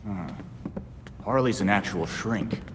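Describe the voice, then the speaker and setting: Blunt young vigilante, sceptical, deep gruff voice, noisy echoing hall. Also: male voice, takes it slowly. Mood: sceptical, irritated. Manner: gruff, sardonic. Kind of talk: video game cutscene acting.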